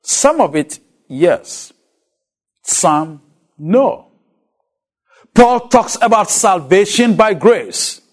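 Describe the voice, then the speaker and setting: A man's voice: high-pitched, big pitch swings, drawn-out words, pauses often. A man from Ghana with a Ghanaian accent, for whom English is not his first language, teaching a lecture on a Bible letter and putting rhetorical questions to his listeners.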